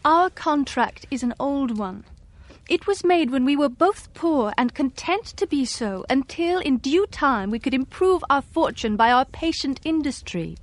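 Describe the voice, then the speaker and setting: An actress playing a heartbroken but resolute young fiancée, earnest and measured, in a radio drama. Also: female voice, high voice, expressive, no room sound. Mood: grieved, accusing.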